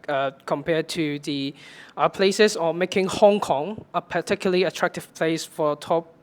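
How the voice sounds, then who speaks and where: A man interviewing a guest at a developer conference, asking long questions with many restarts. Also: a little high.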